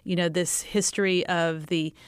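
A woman's voice: on the low side, steady tone, moderate pace, clean tone, no room sound, clean studio sound. A woman superintendent speaking in a panel discussion, reflective and storytelling. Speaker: school superintendent.

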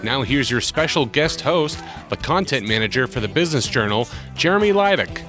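A man's voice: mid-range voice, expressive, brisk. A man reading an upbeat scripted introduction for a podcast. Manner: upbeat, announcing. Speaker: show announcer. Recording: announcer over loud music.

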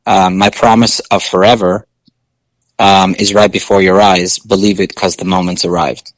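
A male singer with a low speaking voice, chatting casually in an interview with many fillers and restarts. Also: flat delivery, normal speed, no echo, poor audio.

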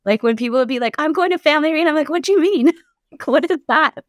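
Animated woman asking questions. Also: high-pitched, fast, dry recording, clean studio sound. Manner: animated, acts out quoted reactions. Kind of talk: casual group conversation.

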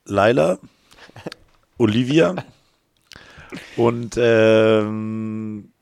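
A man's voice: fairly low pitch, drawn-out words, creaky, darkish tone. A man co-hosting a podcast in casual banter, reacting playfully with short quips. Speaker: podcast co-host.

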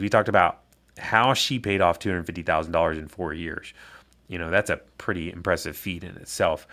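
A man with a low-pitched voice speaks as a podcast host. His delivery is conversational and informal, with chatty phrasing suited to introducing and interviewing guests. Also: fairly bright tone.

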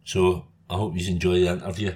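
A man hosting a podcast, talking in a relaxed, informal way with local words. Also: low-pitched, a little fast. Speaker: podcast host. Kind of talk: podcast intro and interview.

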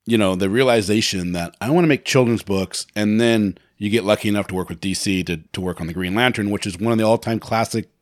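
A man's voice: lowish voice, fast talker, hardly any pauses, no echo, studio quality. A man hosting a casual interview, asking loose, enthusiastic questions with frequent restarts. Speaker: podcast host.

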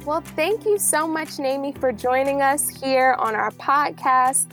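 A woman's voice: high voice, unhurried, fairly bright tone, faint music behind. A woman hosting an interview podcast, speaking into a close microphone. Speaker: podcast host. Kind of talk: scripted introduction and interview.

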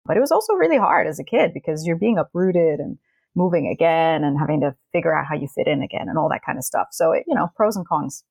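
A woman's voice: sits low, animated, fast talker, creaky, a little mellow, slightly rough, dry recording. A woman in her thirties whose first language is Danish, a parenting coach speaking as a podcast guest in a friendly, reflective way. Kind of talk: casual interview chat.

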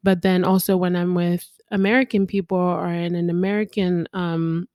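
A woman's voice: lowish voice, level tone, moderate pace, a little mellow, no room sound. Reflective young woman, dry close sound. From Congo and South Africa. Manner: reflective, talks in long flowing thoughts. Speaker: podcast co-host. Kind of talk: casual conversation.

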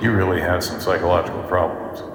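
A man's voice: low-pitched, steady tone, quick pace, slight background noise, close to an everyday voice. A man playing a brutally honest magic mirror in a comic radio play, shifting between mock-solemn grandeur and wry, casual remarks. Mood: wry, needling. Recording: echoey, long hall reverb.

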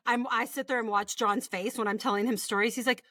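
A woman's voice: fairly high pitch, steady tone, brisk, pushed and bright, dry recording, studio quality. An American woman co-hosting a podcast, reading listener stories aloud in a casual, sweary way with chatty asides. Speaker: podcast co-host.

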